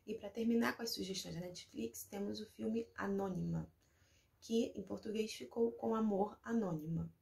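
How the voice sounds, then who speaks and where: A woman's voice: medium pitch, normal speed, vocal fry, a little mellow. A young adult woman from Brazil hosting a casual video and recommending shows to language learners in a chatty, explanatory way. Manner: chatty, explanatory, reads synopses aloud. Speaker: Spanish teacher and video host.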